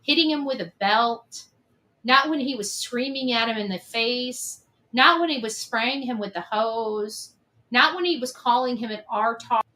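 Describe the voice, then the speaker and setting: Bright, high-pitched accusatory woman. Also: a little slow, low-quality recording. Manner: emphatic, accusatory, repeats phrases. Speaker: online commentator on a case. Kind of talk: opinionated unscripted monologue.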